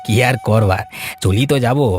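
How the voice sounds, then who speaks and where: A man's voice: on the low side, normal speed, faint music behind, natural voice. A man voicing a nosy, smug village neighbor in a cartoon, mocking under a show of consolation.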